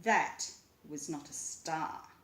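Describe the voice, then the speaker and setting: A woman's voice: sits low, takes it slowly, a bit bright, roomy sound, voice pushed down. A woman voicing a haughty, fearful old male skeleton with a leathery, whispery voice in a children's storybook. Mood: disdainful. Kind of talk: children's storybook read-aloud.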